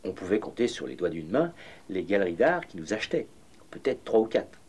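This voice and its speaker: Male documentary voice-over, an actor as a reflective painter recalling bohemian days; low-pitched, husky, measured, literary delivery. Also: sing-song, a little mellow, exaggerated voice. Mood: reflective, nostalgic. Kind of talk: scripted first-person narration.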